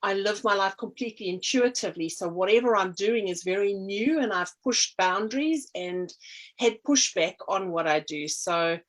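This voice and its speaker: A woman's voice: medium pitch, moderate pace. A middle-aged South African woman talking casually in an interview, meandering with many ums and restarts. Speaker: energy healer and artist, guest.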